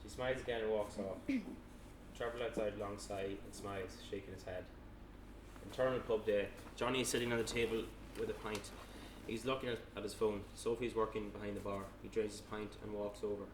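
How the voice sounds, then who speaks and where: A man narrates a screenplay at a table read in a plain, matter-of-fact way. His voice is lowish and very even in pitch. It is picked up from far off in a noisy, echoey room. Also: fairly quick. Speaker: narrator reading stage directions at a table read. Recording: echoey room, distant mic, noisy background.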